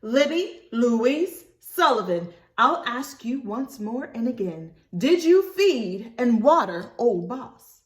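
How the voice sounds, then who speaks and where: A woman voicing a stern country mother in a storybook, firm and deliberate as she demands honesty. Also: a little high, big pitch swings, echoey room, voice pushed up. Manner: firm, slow, emphatic.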